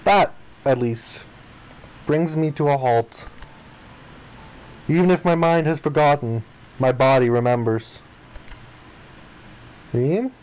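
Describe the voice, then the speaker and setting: A man reading the narration and dialogue of a visual novel aloud in an expressive style. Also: fairly high pitch, slow pace, long pauses, rough recording.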